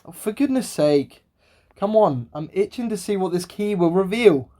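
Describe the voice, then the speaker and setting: An amateur actress playing a scatty, curious, excitable friend in a radio play, in a chirpy, playful manner. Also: female voice, mid-range voice, normal speed, lightly acted. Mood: impatient.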